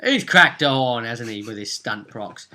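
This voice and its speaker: Bright-voiced man recapping a tabletop game. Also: medium pitch, animated, a little slow. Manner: informal, rambling storyteller. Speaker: game master. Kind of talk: casual recap of a tabletop role-playing session.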